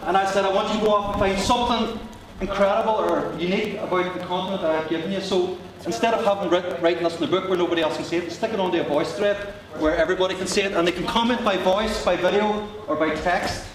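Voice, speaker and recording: A man's voice: high-pitched, steady tone, quick pace, few pauses. A man with a Northern Irish accent, a teacher giving a short, chatty, joking talk to an audience of teachers. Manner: chatty, joking, self-deprecating. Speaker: teacher presenting at a teacher meetup. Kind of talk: short live presentation to an audience. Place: large lecture hall with an audience. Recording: echoey hall from a distance, crowd noise.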